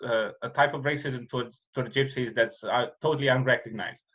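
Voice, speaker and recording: A man with a Romanian accent talks through a casual podcast chat in an earnest, halting way, restarting his sentences often. His pitch sits in the middle range. The sound is a little dull and lo-fi. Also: steady tone, fairly bright tone. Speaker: podcast host, comedian.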